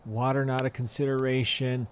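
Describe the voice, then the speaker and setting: A man's voice: medium pitch, flat delivery, normal speed, slight background noise. Frank male golf analyst. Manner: candid, gives frank praise and criticism of shots. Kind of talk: broadcast color commentary.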